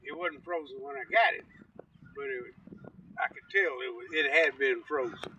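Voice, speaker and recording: A man's voice: a little high, moderate pace, dull audio, a little hiss or hum. A man telling rambling, folksy stories in casual chat outdoors on a riverbank, picked up from some distance. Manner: rambling, folksy, animated storyteller. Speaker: storytelling uncle, guest.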